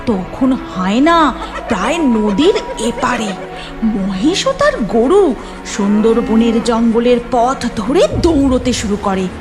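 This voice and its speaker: A woman's voice: on the high side, very animated, takes it slowly, over music. A woman narrating a cartoon story in a dramatic scripted style.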